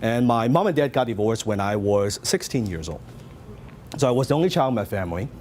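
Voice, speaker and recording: Lively man with a Hong Kong accent. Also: medium pitch, a little fast, a little hiss or hum. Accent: Hong Kong Cantonese. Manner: lively, interactive, asks the audience questions. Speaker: seminar speaker. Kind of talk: live seminar talk to an audience.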